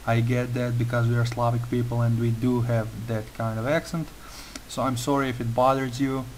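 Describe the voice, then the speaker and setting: A young man with a Croatian accent narrates a game tutorial at a mid-range pitch, working through his sentences with frequent pauses and filler sounds. A faint hiss sits under his voice. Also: level tone, darkish tone, low-quality recording. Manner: careful non-native phrasing with frequent uh fillers. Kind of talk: gameplay tutorial voice-over.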